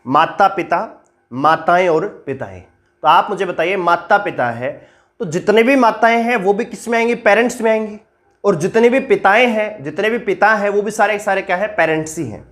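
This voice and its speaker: Man teaching with a sore throat. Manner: questions the viewers, then answers himself low and slow; steady, explaining step by step. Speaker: reasoning teacher. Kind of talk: online exam-prep lesson.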